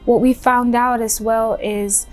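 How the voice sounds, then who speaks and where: A young woman from Cameroon, speaking earnestly and in a measured way as a documentary narrator and filmmaker. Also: a little high, flat delivery, soft background music, low-quality recording.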